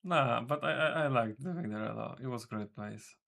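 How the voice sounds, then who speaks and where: A man's voice: mid-range voice, brisk, vocal fry, fairly bright tone. A man streaming as a game developer, chatting casually with his viewers in a rambling way, correcting himself and swearing offhandedly. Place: home room.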